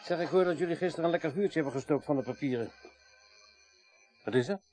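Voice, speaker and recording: Wry male investigator played by an actor, slightly high voice, informal, teasing, sceptical, radio play. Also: quick pace, a little mellow, soft background music, close to an everyday voice. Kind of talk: radio drama dialogue.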